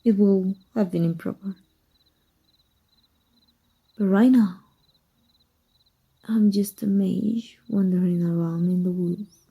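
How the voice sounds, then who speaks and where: A woman voice actor playing a tender, teasing evil magic teacher in an intimate ASMR roleplay, slow and hushed with a husky, breathy voice. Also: mid-range voice, steady tone, phone-quality sound, exaggerated voice. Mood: flattered, coy. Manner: slow, hushed, deliberate, seductive.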